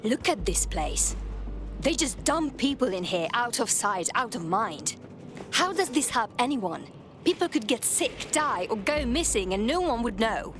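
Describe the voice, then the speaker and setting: An actress playing a determined, concerned undercover operative, speaking directly in a video game. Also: female voice, a little high, very animated, a little slow. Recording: music behind.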